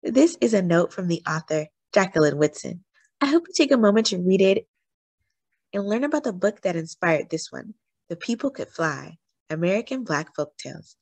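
A woman reading a children's picture book aloud expressively, with dramatic, deliberate phrasing. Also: lowish voice, big pitch swings, a little mellow, no echo. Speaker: story reader, host of a read-aloud channel.

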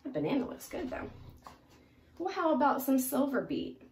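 A woman's voice: high-pitched, very animated, moderate pace, darkish tone, voice pushed up. A woman voicing a cheerful fruit-loving piranha in a children's storybook, coaxing his friends in an eager, persuasive way. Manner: eager, persuasive, rhyming offers. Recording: roomy, distant mic, faint noise.

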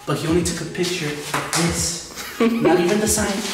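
A young man, hosting a casual vlog and telling rambling, joking stories while filming himself in a dark abandoned prison. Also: high-pitched, normal speed, noisy background. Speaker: vlog host. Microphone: handheld camera at arm's length. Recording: echoey concrete halls with music.

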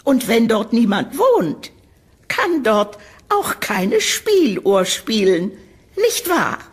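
A woman playing a condescending older landlady in an audio horror drama, sugary and dismissive, with a patronising, drawn-out delivery. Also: a little high, very animated, breathy edge, heavily acted.

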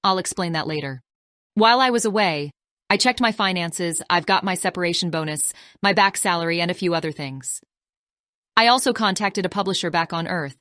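A woman's voice: fairly low pitch, fairly quick, fairly bright tone, no echo, clean studio sound, lightly acted. An actress playing a businesslike female former army officer in an audiobook drama. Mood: matter-of-fact.

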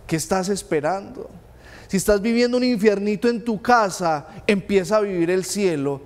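A man in his thirties, a friar, preaching a homily in a small chapel, earnest, addressing listeners with direct questions and dropping low on key phrases. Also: a little mellow, clean tone. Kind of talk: sermon. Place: small chapel with stained glass. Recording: long chapel reverb.

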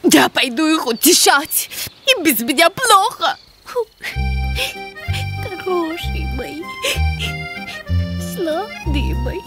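Very high-pitched, plaintive cartoon pig. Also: female voice, very animated, takes it slowly, long pauses, full character voice. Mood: tender, touched. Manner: plaintive, fretful. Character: tearful, sensitive female winged pig who dotes on plants. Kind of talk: children's cartoon acting. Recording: music behind the voice.

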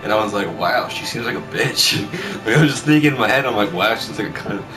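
A man's voice: on the low side, expressive, quick pace, music in the background, low-quality recording. A young man bantering casually and crudely with a friend in a small bedroom, recorded by a camera a short way off. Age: young adult. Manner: crude, profane, teasing. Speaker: vlogger. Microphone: webcam or console camera a short way off.

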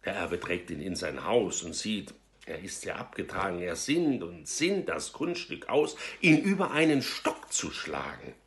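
Theatrical middle-aged man reading, very animated intonation. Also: sits low. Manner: theatrical, deliberate, with emphatic pauses. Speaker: storyteller reading aloud. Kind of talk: dramatic literary reading of a verse fable. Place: small room at home, indoors.

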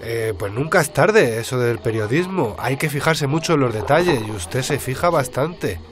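A man's voice: medium pitch, lively intonation, moderate pace, a crowd in the background, lightly acted. An actor playing an inquisitive, easygoing male journalist in a radio drama, asking casual, probing questions.

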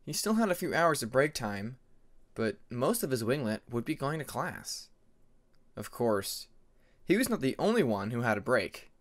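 A man's voice: mid-range voice, very animated, normal speed. A man narrating a fantasy story as an amateur audiobook reader, in a dramatic scripted style.

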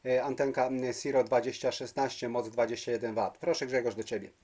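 A man's voice: mid-range voice, steady tone, a little fast, a little mellow. A man chatting casually as a CB radio operator, hesitating and repeating words. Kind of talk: casual radio contact chat. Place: at a home radio base station.

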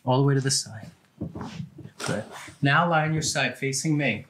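A man's voice: medium pitch, moderate pace. A middle-aged man, a chiropractor, giving casual, short, plain hands-on instructions to a patient. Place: small indoor treatment office. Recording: clearly noisy room with clicks.

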